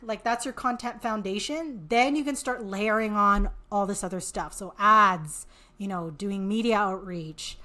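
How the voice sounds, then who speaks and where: A woman with a Canadian accent speaks as a guest in a casual interview. Her voice sits at a middle pitch. She explains things conversationally, repeating and restarting words as she works through a thought. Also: a little slow, bright, forceful tone. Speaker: podcast guest, author and marketing entrepreneur.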